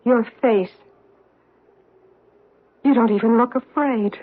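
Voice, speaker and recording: An actress in an old-time radio drama playing a vengeful survivor confronting her enemy with deliberate, accusing intensity. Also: fairly high pitch, husky. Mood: taunting, unsettled. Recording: muffled vintage radio recording.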